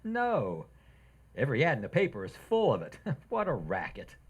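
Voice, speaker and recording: A woman's voice: very deep voice, huge pitch swings, normal speed, husky, full character voice. A woman playing an oblivious, teasing husband in an old-time radio comedy, with a dry delivery.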